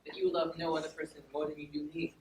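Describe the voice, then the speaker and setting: A man's voice: a little high, flat delivery, quick pace, lightly acted. A man playing a gracious, lovestruck noble lord in a theatrical stage drama. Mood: courtly. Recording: echoey room, distant mic, faint noise.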